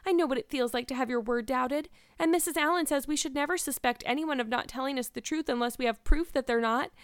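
A woman's voice: sits high, quick pace, smooth, dry recording, studio quality, voice pushed up, lightly acted. A woman voicing a despairing, wordy young girl in a storybook, pleading and earnest in a melodramatic way. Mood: earnest, defensive.

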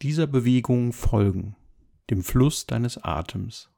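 Calm guy doing a guided body scan, soft mellow voice with lots of gravelly fry. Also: medium pitch. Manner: calm, gentle, unhurried with measured phrases. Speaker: meditation guide.

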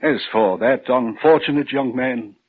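Slow, grave man, an ailing doctor. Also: medium pitch, breathy edge. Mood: grave, solemn. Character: ailing, grave male doctor, measured and evasive. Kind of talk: 1940s-style radio drama acting. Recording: muffled, noisy old radio recording.

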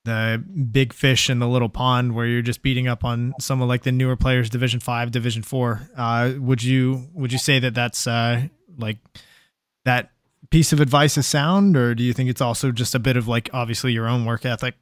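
Smooth-voiced podcast host. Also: male voice, medium pitch, normal speed, no echo, clean studio sound. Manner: relaxed, reads out listener questions, many fillers. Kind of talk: casual interview chat.

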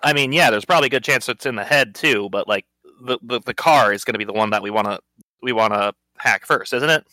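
A man chatting as a player in a tabletop roleplaying game, halting and full of restarts. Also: medium pitch, bright, forceful tone, no echo. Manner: hesitant, lots of fillers and restarts.

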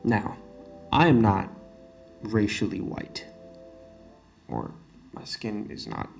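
A young man in his late teens from Singapore speaks with an American-sounding accent in a low, somewhat gravelly voice. He hosts a solo podcast earnestly, stressing and repeating his main points. Faint music plays underneath. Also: very animated, slow pace. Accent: American-sounding, not Singaporean. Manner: earnest, emphatic, repeats key phrases. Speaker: student podcast host.

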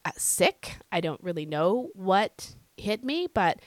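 A woman hosting a devotional podcast, her voice scratchy and hoarse from illness, teaching in an earnest, conversational way. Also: medium pitch, very animated, slow pace, no echo. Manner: earnest, conversational, with fillers and repeated phrases. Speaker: podcast host.

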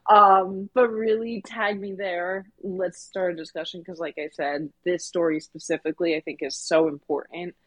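Female podcast co-host, earnest and chatty, slightly low voice, dry close recording. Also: fairly even intonation, moderate pace, hardly any pauses, no echo. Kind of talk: casual podcast review discussion.

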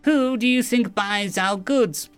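A man's voice: high voice, expressive, normal speed, faint music behind, voice pushed up. A man voicing a proud, menacing alien captain in an audiobook, formal and sneering, bitter and grandiose. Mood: furious. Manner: formal, sneering, theatrical delivery.

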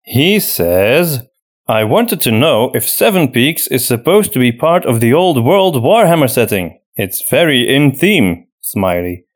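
A man's voice: medium pitch, very animated, a little slow. A man hosting a podcast in casual unscripted chat, thinking aloud hesitantly as he answers. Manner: hesitant, thinking aloud with frequent "you know". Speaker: podcast host and audio drama creator.

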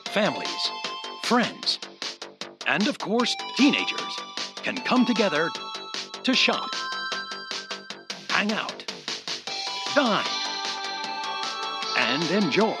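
A man narrating a retro mall commercial as an announcer, salesy and emphatic with drawn-out slogans. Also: sits high, sing-song, over music.

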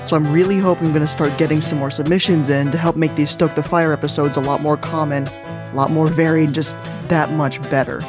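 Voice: mid-range voice, fairly even intonation, fairly quick, music in the background. A podcast host talking casually and with enthusiasm, introducing stories in an informal way. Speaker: podcast host and story reader. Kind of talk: casual podcast hosting and story reading.

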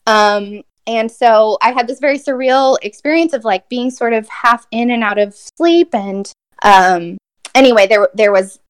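A young woman poet from Brazil speaks in a smooth, clear voice. She answers an interview question thoughtfully, pausing and restarting often. Her pitch sits in a middle range for a woman. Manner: thoughtful, many fillers and restarts, soft laughs. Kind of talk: reflective conversational interview answer.

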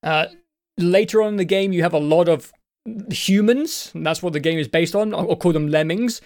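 Croaky guy rambling about games, kinda high voice, swears a bit. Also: normal speed, darkish tone. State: croaky, throat won't clear. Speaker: podcast co-host. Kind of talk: casual chat.

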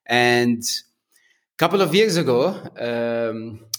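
A man from Lebanon, a podcast guest, talking in an easygoing, measured way with frequent ums. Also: medium pitch, expressive. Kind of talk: casual interview talk.

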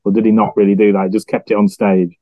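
A man's voice: lowish voice, flat delivery, fast, dark tone, no room sound, rough recording. A man, chatting casually as a panellist in a music discussion. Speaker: podcast panellist.

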